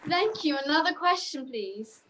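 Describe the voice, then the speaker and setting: A woman's voice: high-pitched, animated, normal speed. A woman playing a poised, formal stage memory marvel in a radio play, precise and showy in her address to an audience. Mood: confident, formal. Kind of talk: radio play acting, stage act patter. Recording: video call with faint noise, clicks.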